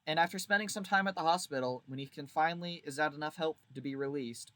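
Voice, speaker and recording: A man's voice: on the high side, quick pace, fairly bright tone, dry recording. A man giving casual video commentary in a wry, informal way, leaning on emphatic exaggeration. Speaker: video creator reviewing a visual novel.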